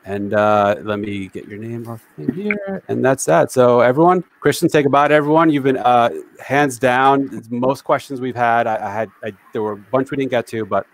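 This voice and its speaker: A man's voice: medium pitch, moderate pace, hardly any pauses. Man, New York area, livestream host, chatty, self-deprecating, video-call sound. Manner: chatty, self-deprecating, reads viewer comments aloud. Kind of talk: casual live-stream chat.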